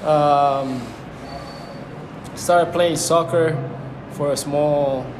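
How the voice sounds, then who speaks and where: A fairly high-voiced young man from Cuba, Spanish his first language, speaking slowly and thoughtfully as he feels for the right words. The room rings around him. A steady hiss of background noise sits under his voice. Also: roomy sound. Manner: reflective, searching for words. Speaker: guest. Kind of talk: casual conversation.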